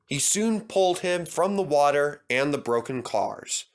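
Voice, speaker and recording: A man reading a children's storybook aloud as narrator. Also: on the high side. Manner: expressive, measured.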